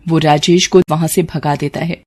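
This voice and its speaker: A woman's voice: low-pitched, fairly even intonation, normal speed, darkish tone. A woman narrating a scripted moral story as its storyteller. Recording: clear background noise.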